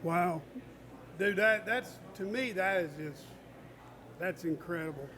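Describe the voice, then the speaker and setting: High-voiced male host, friendly and affirming, casual interviewer. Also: slow pace, pushed and bright. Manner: friendly and affirming, calls the guest "brother".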